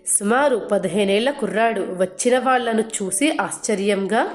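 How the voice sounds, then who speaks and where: A woman's voice: medium pitch, moderate pace. A woman narrating a scripted moral folk tale as a storyteller. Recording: roomy echo, music underneath.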